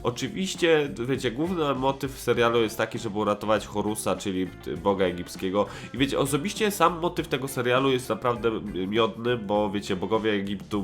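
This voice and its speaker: A man giving a casual, rambling review, hesitant and slangy, often correcting himself. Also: fairly low pitch. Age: 20s. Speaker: host of a nostalgic cartoon review show. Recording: music playing behind the voice.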